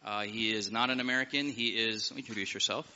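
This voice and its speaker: A man who is a pastor, hosting a live seminar panel in a relaxed, joking, conversational way. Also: lowish voice, a little fast. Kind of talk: opening prayer then panel introductions. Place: seminar hall with live audience. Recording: dull sound with long hall reverb.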